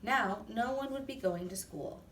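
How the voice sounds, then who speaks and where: A woman reading a children's story aloud in an expressive, deliberate way. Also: a little high. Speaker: storybook reader. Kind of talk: children's read-aloud narration. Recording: echoey room, distant mic, faint noise.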